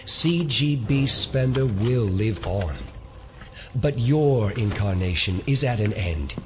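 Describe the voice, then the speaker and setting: Menacing secret-society chief for audio drama. Unhurried, deliberate delivery with expressive, wide-ranging pitch. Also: male voice, noisy background. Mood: cold, commanding. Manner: measured, deliberate, coldly courteous. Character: menacing male elder leading a secret conspiracy.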